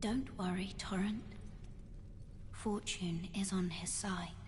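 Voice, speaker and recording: A woman playing a solemn, mysterious young woman in a dark fantasy game, speaking in a soft, breathy hush. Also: mid-range voice, fairly even intonation, lightly acted. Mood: gentle, solemn. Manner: slow, solemn. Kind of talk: scripted video game cutscene acting. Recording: long hall reverb, faint noise.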